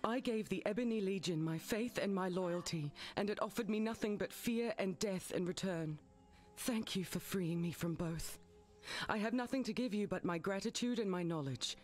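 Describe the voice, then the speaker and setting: A woman's voice: mid-range voice, normal speed, close to an everyday voice. A woman playing a weary, resolute soldier in a fantasy video game, speaking in an earnest, serious way. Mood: bitter gratitude. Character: weary, resolute female soldier deserting her legion. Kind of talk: scripted fantasy game dialogue. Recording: music behind the voice. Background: game music.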